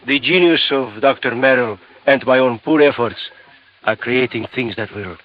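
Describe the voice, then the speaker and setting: An actor playing a suave, controlling professor with formal, insistent speech in a vintage radio drama. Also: male voice, medium pitch, normal speed, a little hiss or hum, natural voice. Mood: effusive, smooth. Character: suave, controlling, suspicious male science professor. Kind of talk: 1950s radio drama acting. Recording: dull vintage radio recording.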